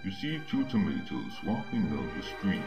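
A man playing a laid-back, pun-loving skeleton with guarded weariness, gravelly and deadpan, in a comic dub. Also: moderate pace. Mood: laid-back, joking. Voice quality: very deep, gravelly. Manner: lazy, deadpan joking. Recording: muffled, cavernous reverb over background music.

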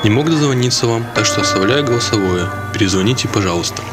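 Actor as male school psychologist, low voice, formal, concerned, audio drama voicemail, loud music. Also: lively intonation, fast, close to an everyday voice.

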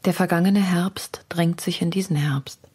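Female poet confiding in letters for radio feature: husky, mellow, intimate. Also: on the low side, fairly even intonation, a little slow. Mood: intimate, reflective. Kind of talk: literary letter reading.

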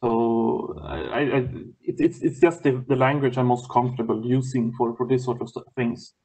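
Swedish guy talking english on a video call, keeps restarting his sentences, mid pitch. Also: fairly even intonation, warm tone, slightly rough, poor audio. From Sweden. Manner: halting, many restarts and repeated words. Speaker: guest lecturer.

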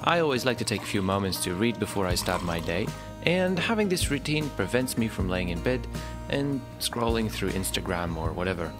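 A young man narrating a vlog in a casual, relaxed, informal way, explaining things as he goes. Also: lowish voice, brisk. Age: young adult. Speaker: vlogger. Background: music.

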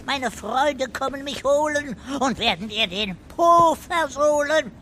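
Talking parrot: high, sharp, pompous and cheeky, for children's audio drama. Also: male voice, animated, takes it slowly, a little hiss or hum, heavily acted. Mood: defiant, pompous. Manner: pompous, precise wording. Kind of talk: children's radio play acting.

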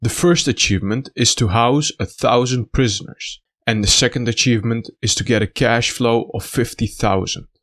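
A man with a slightly raspy, stuffed-up voice giving casual gameplay commentary, thinking aloud in a halting way. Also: medium pitch, a bit bright. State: stuffy nose after being sick. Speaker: gaming video host.

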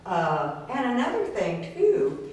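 Slow-spoken woman in an echoey gallery. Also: medium pitch, huge pitch swings, a little mellow, slight background noise. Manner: unhurried, advising. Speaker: print collector on a panel. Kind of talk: casual panel discussion. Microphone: far camera. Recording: echoey room from far off.

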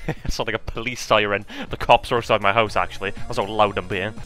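A young man with a Welsh accent, commenting casually on a game with self-mocking asides, swearing and stammered restarts, his throat strained from shouting. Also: lowish voice, fairly even intonation, fast talker, over loud music. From Wales. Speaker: gaming video host.

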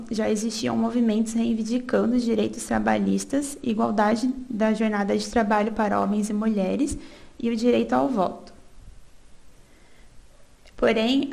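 A young woman from Brazil presents a student research project, reading steadily from her slides. Her voice sits a little high and has a strong, gravelly fry running through it. She stumbles now and then, restarts a word, and opens each new section with a short filler. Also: a little mellow. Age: young adult. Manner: reads steadily from notes with small restarts and filler "é".

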